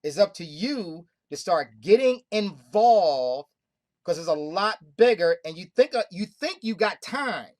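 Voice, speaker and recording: A woman hosting a group call, pitching hard with emphatic, repetitive, preaching talk. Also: expressive, unhurried, a little mellow, dry recording. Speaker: host of a money club call.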